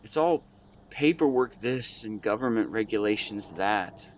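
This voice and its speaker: A man playing a weary, devoted husband in a scripted dramatic monologue, speaking tenderly and haltingly as if to his wife. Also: on the low side, expressive, slight background noise. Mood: weary, dejected. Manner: halting, earnest, intimate delivery. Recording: clear background ambience with nature sounds.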